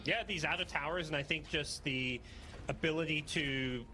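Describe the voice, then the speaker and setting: A man's voice: on the high side, moderate pace, pushed and bright, faint music behind, rough recording. A man giving conversational live analysis as co-commentator on an esports match.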